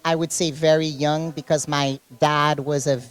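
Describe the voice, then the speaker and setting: A woman's voice: sits low, little pitch movement, normal speed. A woman with a Caribbean accent, raised in Haiti, chatting as an interview guest in a gym, laughing often and restarting her sentences. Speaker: interview guest, fitness competitor. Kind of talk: casual interview chat.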